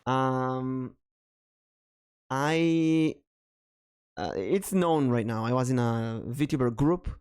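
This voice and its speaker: A man from Israel with a Hebrew accent hosts a relaxed podcast chat. He tells his stories in a meandering way, full of ums and restarts. His pitch sits in a mid range. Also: very slow, pauses often, clean tone. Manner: storytelling, hesitant with many ums. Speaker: podcast host.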